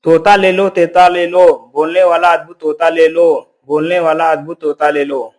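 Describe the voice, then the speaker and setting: A man's voice: a little high, flat delivery, moderate pace, a little mellow, natural voice. A man playing a stubborn, grumbling poor village hunter in a cartoon story. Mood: hawking. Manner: complaining, self-justifying.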